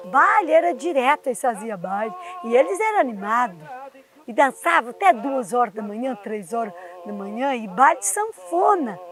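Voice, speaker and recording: A woman in an interview recalling old country dances, listing details in a plain, steady way. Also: fairly high pitch, normal speed. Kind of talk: reminiscing interview.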